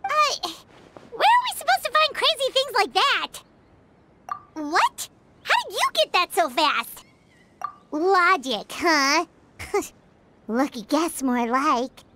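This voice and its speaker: A woman's voice: very high voice, very animated, takes it slowly, pauses often, noisy background, heavily acted. A woman voicing a small, chirpy, cheeky fairy companion in a video game, talking in exclamations. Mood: puzzled, skeptical. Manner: refers to self in third person, exclamatory. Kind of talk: English video game voice acting. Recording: music playing behind the voice.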